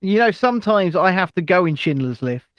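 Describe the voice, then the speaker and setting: Sex: male. Role: live podcast co-host. Pitch: a touch high. Sound: dry and close. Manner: rambling, full of ums and tangents. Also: big pitch swings, brisk, no echo. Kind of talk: casual live chat.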